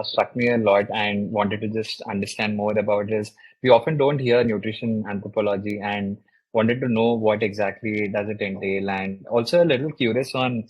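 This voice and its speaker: On a dull, lo-fi recording, a man with a slightly low, husky voice hosts an interview. He asks questions casually and politely, with somewhat hesitant phrasing. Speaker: podcast host, interviewer.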